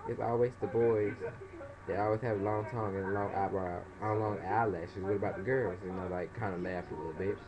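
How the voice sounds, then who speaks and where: A young man, casually telling a story in a vlog and acting out other people's voices. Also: fairly quick. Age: young adult. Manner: animated, acts out other people's voices in high pitch. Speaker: vlogger. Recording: dull lo-fi webcam audio.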